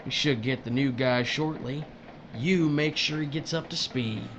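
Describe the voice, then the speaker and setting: A man's voice: mid-range voice, a little slow, lo-fi sound, background noise, natural voice. A man playing a smug, folksy, bullying foreman in an audio drama, with a self-satisfied, needling delivery.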